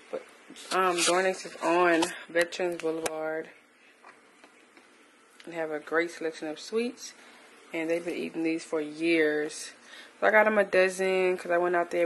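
A young woman vlogging casually to her viewers, talking in a relaxed, chatty way while filming on a phone held at arm's length. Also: on the low side, a little slow, gravelly, slight background noise, rough recording. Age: young adult. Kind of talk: casual vlog chat to camera. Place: restaurant, supermarket and home kitchen.

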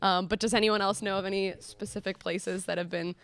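Clear-voiced young woman host, chatty. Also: medium pitch, fairly even intonation, a little fast, fairly bright tone. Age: young adult. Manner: chatty, enthusiastic. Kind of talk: casual live commentary between radio plays.

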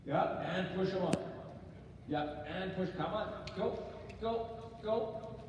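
A man's voice: high-pitched, slow. A middle-aged man coaching a rider live, giving short encouraging instructions and patient explanations. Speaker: riding clinic instructor. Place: large indoor riding arena. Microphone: spectator's camera at some distance. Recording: echoey hall, distant mic, loud background noise.

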